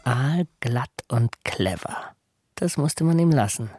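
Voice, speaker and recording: Brooding, dreamy drifter. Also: male voice, mid-range voice, lively intonation, takes it slowly, darkish tone, slight background noise. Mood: marvelling. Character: brooding, dreamy, anxious male drifter and criminal. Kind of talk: radio drama acting.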